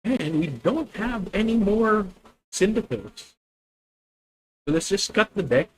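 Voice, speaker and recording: A young man with a Filipino accent plays a card game live and talks through every move as he makes it. He often stops to correct himself and sometimes turns to speak to his viewers. His voice sits fairly high for a man. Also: big pitch swings, slow, husky, rough recording. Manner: thinking aloud, self-correcting, addresses viewers. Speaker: streamer. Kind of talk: live gameplay commentary.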